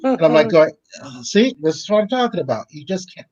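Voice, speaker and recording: A man's voice: fairly high pitch, very animated, a little fast, a little mellow, no echo, dull audio. A man from the Boston area, a guest in a casual live chat, talking in a wry, joking way.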